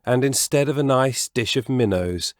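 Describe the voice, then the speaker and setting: A man telling a children's story as a scripted, expressive reading, measured and savouring the descriptive words. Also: mid-range voice, no room sound, clean studio sound.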